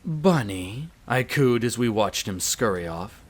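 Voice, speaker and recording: A man's voice: mid-range voice, animated, unhurried. A man playing a haughty, urbane vampire master in an audio roleplay, condescending and coolly menacing, speaking with theatrical relish. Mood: scornful relish. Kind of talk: dramatic audio roleplay narration.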